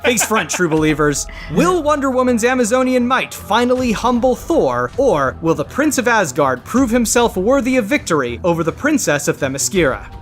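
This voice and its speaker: A man's voice: sits high, very animated, moderate pace, few pauses, faint music behind. A man hosting and judging a comedy podcast, reading scripted comic narration theatrically. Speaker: podcast host and debate judge.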